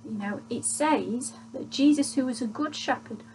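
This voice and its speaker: A woman gives a gentle children's church talk, asking leading questions as she tells the story, recorded on a webcam in a small room at home. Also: high voice, moderate pace, slight background noise, poor audio. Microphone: webcam at desk distance.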